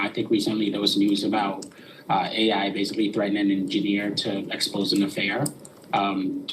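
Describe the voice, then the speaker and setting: A man asks informal questions from an audience. His voice is fairly low and very even, hesitant in phrasing, with clear room noise around it. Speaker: audience member asking questions. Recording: noisy room ambience.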